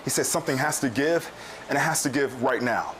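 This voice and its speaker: A man's voice: mid-range voice, fairly quick, a bit bright, a little hiss or hum, low-quality recording. A man narrating a scripted news report as a reporter.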